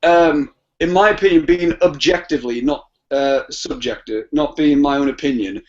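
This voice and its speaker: A man's voice: fairly high pitch, normal speed, darkish tone. A man chatting casually about films as a podcast guest, rambling and often rephrasing himself. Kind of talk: casual film discussion. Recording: dull lo-fi audio.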